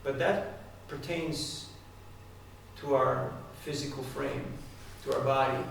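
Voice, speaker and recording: A man's voice: mid-range voice, steady tone, slow, vocal fry. A man giving a devotional talk to a live audience, speaking conversationally and asking his listeners direct questions. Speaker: spiritual speaker. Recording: echoey hall, distant mic, faint noise.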